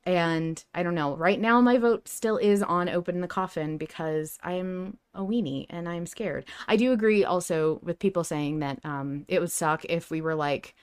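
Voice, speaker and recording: Smooth clear lowish woman podcast host, chatting casually, emphatic and slangy. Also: moderate pace, hardly any pauses. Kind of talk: casual discussion of a fiction podcast.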